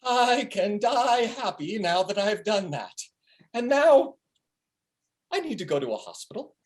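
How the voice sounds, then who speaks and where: A woman's voice: medium pitch, very animated, unhurried, noisy background. A woman playing a foul-mouthed, defiant male sidekick in a comedy table read, with pleading, theatrical delivery. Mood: triumphant. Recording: video call.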